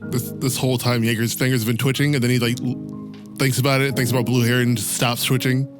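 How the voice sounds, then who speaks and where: A man plays a tabletop game, talking very quickly. He casually describes his character's actions over clearly audible background music. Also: mid-range voice, level tone. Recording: music under the voice.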